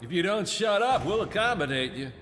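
Barking, high-pitched brutal jail guard. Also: male voice, sing-song, brisk, a bit bright. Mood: threatening. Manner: barking, threatening. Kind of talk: audio drama acting. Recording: noisy echoing hall.

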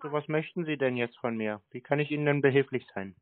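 A man chatting casually on a call, teasing and provoking his caller in a dry, deadpan manner. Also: mid-range voice, fast, no room sound. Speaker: scam-baiter taking phone calls. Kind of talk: casual, teasing phone chat. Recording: narrow phone-band call audio.

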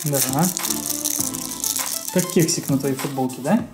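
A man's voice: mid-range voice, measured pace. Man guiding a toy video, music behind. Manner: simple explanations and prompting questions to a child. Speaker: co-host of a children's toy video. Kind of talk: toy unboxing commentary to children. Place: small room at home.

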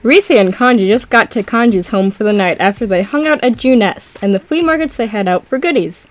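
A woman's voice: on the high side, fairly quick. Young woman reading fan fiction irreverently. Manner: irreverent, giggly asides. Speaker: co-reader and narrator. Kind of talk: joking dramatic reading with commentary.

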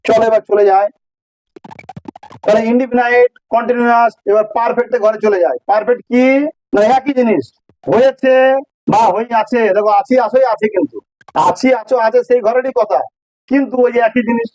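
A man's voice: very high-pitched, brisk, darkish tone, dry recording, poor audio. A man with a Bengali accent teaching a grammar lesson informally, explaining step by step and repeating phrases for emphasis.

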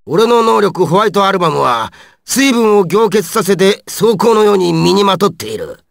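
A hot-tempered ice villain from an anime video game. The voice is very high for a male, harsh and gravelly, and it swings wildly in pitch. The delivery is coarse, aggressive and menacing. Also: big pitch swings, normal speed, no room sound, clean studio sound, heavily acted. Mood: cold menace. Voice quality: raspy, gravelly.

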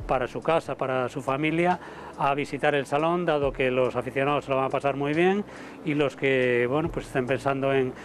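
A man in his fifties, a motor show director, speaking to camera in a halting way with many fillers and repeated words, recorded outdoors on a lapel mic. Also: medium pitch, fairly even intonation, a little hiss or hum. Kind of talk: interview to camera. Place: outdoor terrace above a city port.

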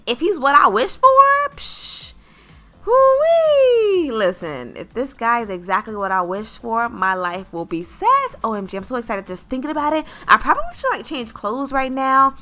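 A woman acts out a sassy young woman looking for romance in a roleplay story. Her voice is high and bright, and her slangy girl talk is very animated and expressive. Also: normal speed, faint music behind. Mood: hopeful, excited. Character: sassy, boy-crazy young woman chatting with her girlfriend. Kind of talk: improvised roleplay story narration and dialogue.

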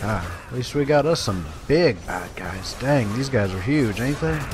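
A man's voice: mid-range voice, animated, unhurried, background noise. A man giving casual, folksy gameplay commentary, talking to his viewers. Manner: folksy, conversational, addresses viewers. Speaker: let's play host. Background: video game sounds.

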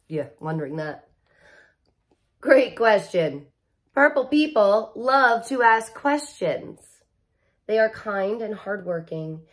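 A woman in her thirties reading a picture book aloud like a teacher, expressive and playful, with casual asides and emphasis on key words. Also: mid-range voice. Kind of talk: animated children's read-aloud with casual asides.

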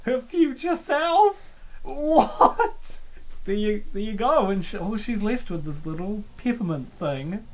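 Playful, animated commentator with swooping pitch. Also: takes it slowly. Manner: rambling, playful, excitable reactions. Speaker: comic dub host. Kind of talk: casual commentary reading a webcomic.

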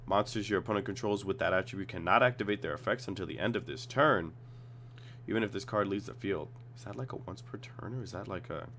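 A man with a cold and a slightly raspy voice, casually reading card text aloud and reacting as he reviews cards at his computer. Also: deep voice, fast talker, fairly bright tone, a little hiss or hum. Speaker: card game tutorial host.

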